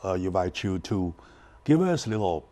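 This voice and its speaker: Deep-voiced host. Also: male voice, sing-song, dark tone. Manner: halting, many restarts and fillers. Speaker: talk show host. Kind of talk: community TV interview.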